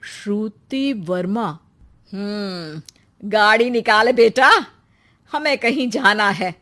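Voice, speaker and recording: A woman voicing a shrewd older mother-in-law in a cartoon story, who speaks firmly and loudly but patiently. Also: mid-range voice, slow. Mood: knowing. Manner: firm and loud, with a patient tone.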